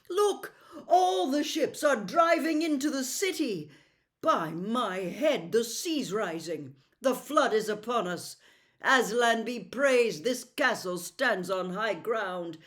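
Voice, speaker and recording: A woman's voice: a little high, a bit bright. Woman playing a noble, declamatory storybook prince. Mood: urgent, proclaiming. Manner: declamatory, chivalrous, formal. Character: noble, valiant young prince with archaic courtly speech. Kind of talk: audiobook character voice.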